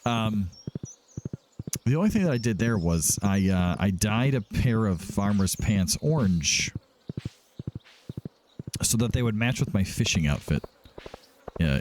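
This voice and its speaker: A man hosting a gaming let's play in a casual, rambling, self-deprecating way, stopping and restarting as he goes. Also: deep voice. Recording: music behind the voice. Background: background music.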